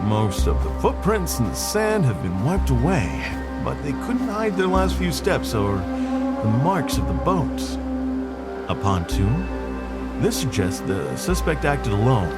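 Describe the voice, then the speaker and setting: A man's voice: mid-range voice, big pitch swings, takes it slowly, over loud music. A man playing an eccentric, chatty FBI agent in an audio drama, with formal, articulate and quirky speech. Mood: musing, analytical. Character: eccentric, chatty male FBI agent dictating to tape recorder.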